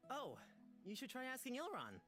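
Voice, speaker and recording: A woman's voice: high-pitched, wildly swooping pitch, normal speed, fairly bright tone, exaggerated voice. A woman voicing an earnest, idealistic young man in a visual novel, a little hesitant. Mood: friendly. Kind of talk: visual novel character reading. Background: music.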